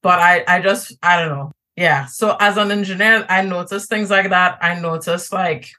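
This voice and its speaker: A woman's voice: on the low side, moderate pace, sharp tone. A Trinidadian woman in a casual podcast interview, chatty and easygoing, with many fillers and restarts. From Trinidad and Tobago. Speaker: podcast guest.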